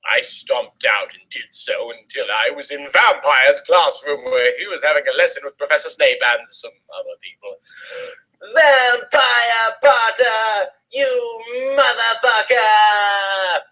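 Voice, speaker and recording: A man's voice: mid-range voice, normal speed, hardly any pauses, pushed and bright, poor audio. A man giving a mock-dramatic reading of a story in an overwrought, theatrical manner. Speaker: reader of a fan fiction story.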